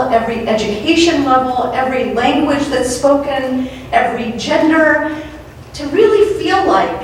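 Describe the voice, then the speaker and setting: A woman opening a civic summit with an earnest welcoming speech, repeating key words for emphasis. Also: high voice. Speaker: event organizer opening a summit. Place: large library event room. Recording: very echoey hall, distant mic, faint hiss.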